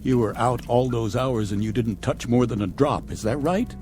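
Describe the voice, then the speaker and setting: A man's voice: medium pitch, normal speed, darkish tone, natural voice. An actor playing a stern captain in a vintage TV drama, commanding and sarcastic, fiercely protective of his crew. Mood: suspicious, sarcastic. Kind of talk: mid-century television drama acting. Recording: music under dialogue.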